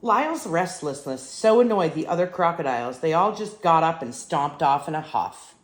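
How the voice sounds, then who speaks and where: An older woman reads a picture book to children with animated, emphatic expression. Her voice sits a little low and is heard in a roomy, echoing space. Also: moderate pace. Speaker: grandmother reading stories. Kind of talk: storybook read-aloud for children.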